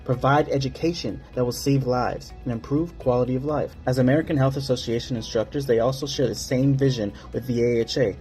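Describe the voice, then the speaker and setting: A man's voice: mid-range voice, steady tone, fairly quick, few pauses, a little mellow. A man reading a scripted sponsor ad, running through lists. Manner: listing, scripted read. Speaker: ad reader. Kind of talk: read sponsor ad. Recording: faint music underneath.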